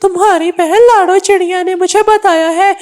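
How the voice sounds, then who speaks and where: A woman's voice: very high voice, fairly even intonation, normal speed, darkish tone. A woman voicing an earnest, easily upset male parrot in a children's cartoon, emphatic and scolding. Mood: worried. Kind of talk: Hindi kids' cartoon dubbing.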